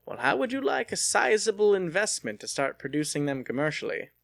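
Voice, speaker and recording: A man's voice: sits high, sing-song, a little fast, vocal fry, fairly bright tone, no echo, studio quality, pitched above the performer's own voice, exaggerated voice. Shrewd middle-aged patriarch, acted by a man, audiobook. Commanding, businesslike, strongly inflected delivery. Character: stern, shrewd, imposing wealthy father, older man. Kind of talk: dramatic audiobook character voice.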